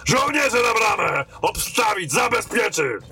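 A man plays a blunt, battle-worn captain from a fantasy radio play. His voice is sharp and forceful, set a little high for a man. He speaks with command, as one used to giving orders, with music playing softly beneath him. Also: sing-song, a little slow, creaky, full character voice. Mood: barking orders. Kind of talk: fantasy audio drama acting.

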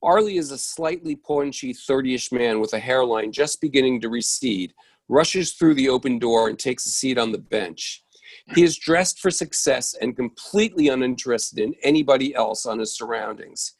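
A man's voice: medium pitch, normal speed, no echo. A man reading a play's stage directions aloud, occasionally stumbling over words and correcting himself. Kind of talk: reading a play script aloud.

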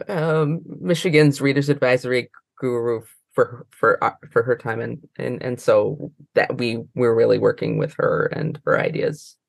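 A woman's voice: rumbling low, gravelly, no echo. An American woman talking casually as an interview guest, rambling and often trailing off mid-thought. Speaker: interview guest, librarian and trainer.

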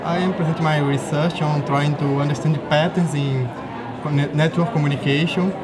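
Young Brazilian man, halting, crowd behind. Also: fairly high pitch, steady tone. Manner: halting, many restarts and self-corrections. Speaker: graduate student. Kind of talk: casual conversational interview. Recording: loud crowd noise behind. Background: crowd chatter.

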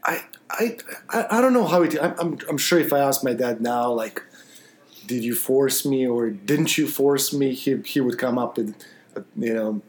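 A man from Croatia, a guitarist being interviewed, who talks casually. His answers come out halting, with frequent restarts and fillers. His pitch sits in a middle range. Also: lively intonation. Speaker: guitarist guest. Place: busy festival venue, stone hall.

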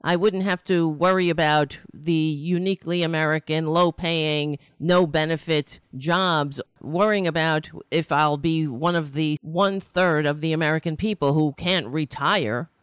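A woman's voice: low-pitched, fairly even intonation, measured pace, hardly any pauses, clear, resonant tone, dry recording, clean studio sound. A woman from New York City hosting a political livestream show, talking casually and launching into an exasperated, sarcastic rant. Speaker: host of a political talk show.

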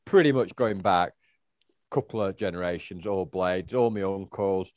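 A man's voice: low voice, normal speed, a little mellow, no echo. A middle-aged man, co-hosting a casual chat and rambling through answers with lots of fillers and restarts. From England. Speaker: podcast co-host.

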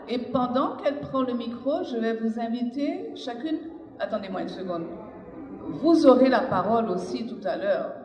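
A woman moderating a live panel in an informal, conversational way, addressing the speakers and audience. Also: mid-range voice. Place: public panel in a hall with audience. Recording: very echoey hall, audience noise.

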